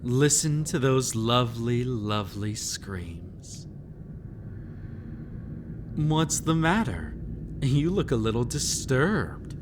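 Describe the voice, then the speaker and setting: A man's voice: mid-range voice, lively intonation. Man playing a teasing, sinister haunted-house keeper, background noise. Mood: gloating menace. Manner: teasing, drawn-out words, theatrical asides to the listener. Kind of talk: scripted immersive audio roleplay, storytelling.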